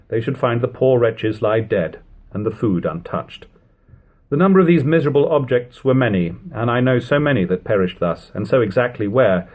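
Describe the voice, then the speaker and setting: A man reading an old literary journal aloud as an audiobook narrator, in measured, expressive long phrases. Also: on the low side, breathy edge. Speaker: audiobook reader.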